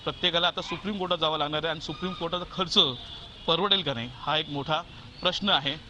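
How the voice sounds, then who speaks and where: A man's voice: fairly high pitch, wildly swooping pitch, quick pace, sharp tone, highs cut off. A man giving an emphatic, repetitive news report on location as a field reporter. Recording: faint location noise.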